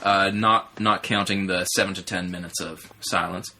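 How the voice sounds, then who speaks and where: A man's voice: sits low, fairly even intonation, moderate pace, sharp tone, slight background noise. A man co-hosting a podcast in casual banter, joking in a mock-serious, hesitant way. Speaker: podcast co-host.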